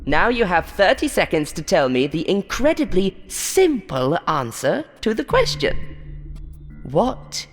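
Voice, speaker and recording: A woman's voice: lowish voice, big pitch swings, a little slow, in a large hall, soft background music, pitched above the performer's own voice. Woman voicing a haughty, showy, monstrous game show host. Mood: scornful. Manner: theatrical announcer timbre, flipping to contempt. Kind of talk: horror audio story.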